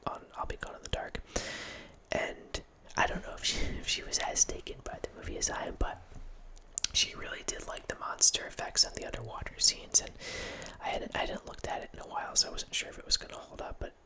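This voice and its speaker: A man in his thirties hosting an ASMR channel, whispering a rambling film chat into a close microphone in a small home room. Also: a little fast. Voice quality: soft unvoiced whisper. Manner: rambling, with restarts and quiet laughs. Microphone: close studio mic with headphones.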